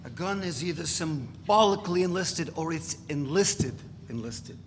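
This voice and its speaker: A man's voice: fairly high pitch, animated, normal speed, lightly acted. A man in a live dramatic reading playing a laconic radical tough guy, offhand, dry and defiant. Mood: dry, dismissive. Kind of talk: dramatic reading of a novel before an audience. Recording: reverberant hall, noisy live recording.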